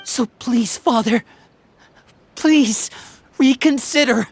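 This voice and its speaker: A woman's voice: fairly high pitch, slow, slight background noise. A woman playing an earnest youth, loyal to a found family, pleading sincerely in a video game.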